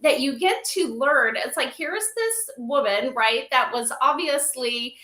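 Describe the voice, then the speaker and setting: In a roomy, echoey livestream recording, a woman guest explains things in a bright, fairly high voice. She is informal and conversational, often pausing to seek agreement from her listener. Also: lively intonation. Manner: informal, filler-heavy, seeks agreement with "right?" and "you know". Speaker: interview guest, company advisor.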